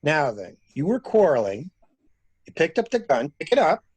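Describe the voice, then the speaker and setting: A man plays a sharp, sardonic male traveler in a murder mystery. His voice is rough-edged and very animated in pitch as he probes and pushes like an amateur detective. The delivery is theatrical and the sound is close and dry. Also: moderate pace, no echo. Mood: goading. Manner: probing, insistent, theatrical. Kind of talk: dramatic reading of a murder mystery.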